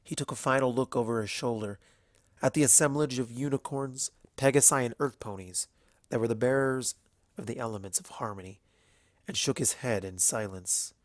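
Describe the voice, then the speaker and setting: A man narrates scripted fiction in the manner of an audiobook. His voice sits at a medium pitch. The recording is dry, with little room sound. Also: normal speed, no room sound.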